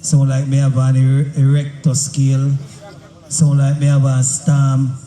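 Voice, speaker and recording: A man, running a mic check at a sound system dance, in slow drawling patois banter. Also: mid-range voice, monotone, dark tone. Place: outdoor sound system event. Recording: echoey outdoor sound system with music.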